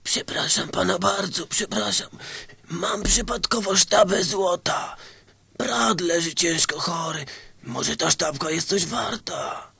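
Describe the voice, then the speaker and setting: An actor playing a meek, pleading old man with a creaky, hoarse voice in a satirical radio sketch. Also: high voice, takes it slowly, sharp tone, no echo, full character voice. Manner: meek, pleading, overly polite. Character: pleading, frail old man peddling a gold bar.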